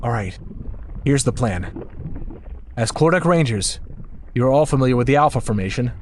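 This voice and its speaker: An actor playing an insecure, earnest young team captain in an audio drama, speaking with a pressured sincerity. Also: male voice, mid-range voice, expressive, moderate pace, a little hiss or hum, lightly acted. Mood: determined.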